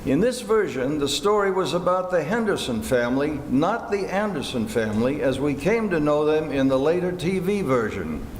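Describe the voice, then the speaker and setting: A man's voice: a little high, sing-song, normal speed, hardly any pauses. A man reading scripted narration as the narrator of an old-fashioned radio play. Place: indoor theater hall. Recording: noisy, echoey hall recording.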